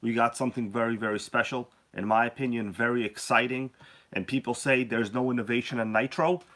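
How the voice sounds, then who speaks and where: A sick man hosts a casual live stream, his voice worn down by a cold. He jokes around and greets viewers by name in a bright, forceful tone. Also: medium pitch.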